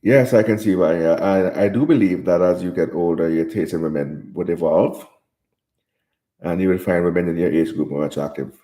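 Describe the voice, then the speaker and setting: A man's voice: low voice, moderate pace, mellow, echoey room. A man from Jamaica hosting a live stream, reading viewer comments aloud and answering them in casual chat.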